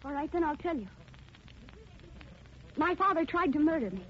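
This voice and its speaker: An actress playing a determined, wronged young daughter in earnest, dramatic 1940s radio style. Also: female voice, high voice, lively intonation, moderate pace. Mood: earnest, resolute. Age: young adult. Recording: muffled, noisy 1940s radio recording.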